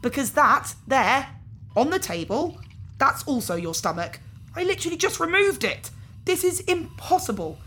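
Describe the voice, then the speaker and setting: A woman's voice: high-pitched, sing-song, moderate pace, bright, forceful tone, full character voice. A woman playing a casual, apologetic organ harvester in a science fiction audio drama, talking in an awkward, nonchalant way. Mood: baffled, indignant. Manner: nonchalant, hesitant, politely awkward.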